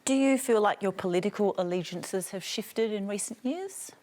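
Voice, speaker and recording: A woman's voice: medium pitch, moderate pace, a little mellow, slight background noise. A woman hosting a studio discussion, putting direct questions to her guests. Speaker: host.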